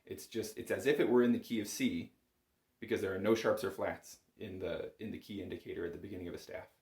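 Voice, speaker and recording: Man, lowish voice, music instructor, patient step-by-step explaining, conversational, echoey small room. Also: steady tone, quick pace, darkish tone. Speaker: bagpipe instructor. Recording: roomy indoor echo.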